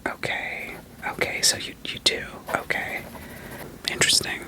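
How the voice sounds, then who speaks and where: A woman doing a soothing ASMR roleplay as a therapist, whispering gently probing questions in a playful way and repeating short phrases. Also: measured pace, rough recording.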